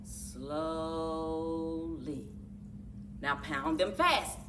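A middle-aged woman speaking to young children as a story time host, with exaggerated, drawn-out storyteller delivery. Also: low-pitched, very animated, creaky, recorded from a distance, noisy background. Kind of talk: expressive picture-book read-aloud and leading action activity for children. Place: indoors, children's library room.

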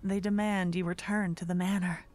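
A woman narrating a scripted second-person fantasy story in ASMR style, hushed, measured and suspenseful. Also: fairly low pitch, little pitch movement, noisy background.

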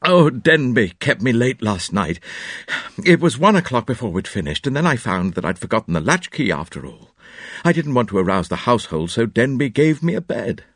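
A man voicing a hypocritical middle-aged husband with a high, slow, overwrought delivery. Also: very animated, dry recording, clean studio sound. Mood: mock grief.

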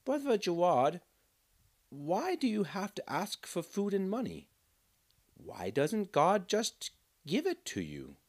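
A man voicing a small, curious village boy who keeps asking questions, in a storybook reading. He raises his voice high for the child. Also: wildly swooping pitch, takes it slowly, exaggerated voice.

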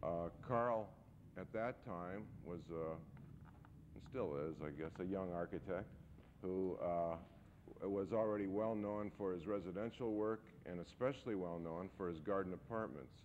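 A man in his forties hosting an architecture lecture series, introducing the evening's guest in a measured, halting way with frequent pauses. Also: low voice. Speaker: architecture school host introducing a guest speaker. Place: lecture hall. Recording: dull, faintly noisy 1970s videotape.

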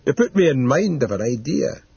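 Audiobook role: terse young plotter giving orders male narrator, husky, dry sound. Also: mid-range voice, big pitch swings, moderate pace, dark tone, no room sound, highs cut off, full character voice. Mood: commanding. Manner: curt, ordering. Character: terse, calculating young grandson, coolly commanding.

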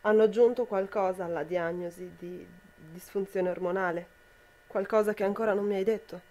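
A woman's voice: medium pitch, fairly even intonation, brisk, mellow, lightly acted. Young woman as an anxious, reproachful partner. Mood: troubled, reproachful. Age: young adult. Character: anxious, unwell woman confronting her partner. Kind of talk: amateur student radio drama acting.

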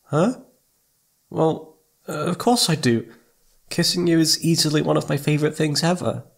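A man's voice: a little high, fairly even intonation, normal speed, gravelly. A man playing a needy, playful young boyfriend in intimate ASMR roleplay, pouty and sweet, stammering when flustered. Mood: needy, teasing.

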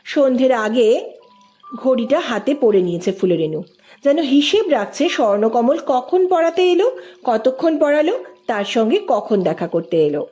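A woman's voice: high-pitched, sing-song, moderate pace, dark tone, dull audio. A woman reading a literary story aloud as a scripted narrator.

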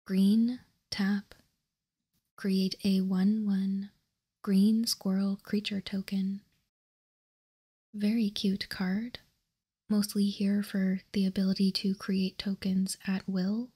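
A woman whispering in a breathy voice as she slowly and deliberately presents trading cards, ASMR style. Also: medium pitch, dark tone. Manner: slow, deliberate, reading card text piece by piece. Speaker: host of a trading card ASMR channel. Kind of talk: ASMR whispered card showcase.

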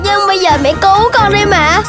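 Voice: very high-pitched, fairly quick. An actor voicing a childlike, lazy, boastful chubby little pig in a children's cartoon, whiny and full of exclamations. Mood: whining in pain. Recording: music behind the voice.

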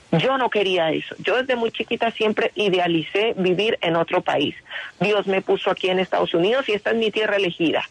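A woman's voice: mid-range voice, no room sound, poor audio. A woman answering a radio interview with earnest insistence and frequent restarts. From Colombia. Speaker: interview guest.

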